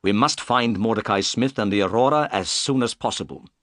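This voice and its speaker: A man's voice: on the low side, fairly quick, fairly bright tone, no echo, clean studio sound, natural voice. A man voicing a composed, courteous, shrewd detective in an audiobook story, polite and confident. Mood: decisive, confident.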